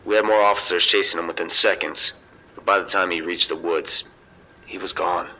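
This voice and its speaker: A man playing a seasoned, unrattled casino security chief giving measured, detailed reports over a two-way radio in an audio drama. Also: low-pitched, fairly even intonation, sharp tone, exaggerated voice. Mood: grave, composed. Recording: dull, noisy two-way radio sound.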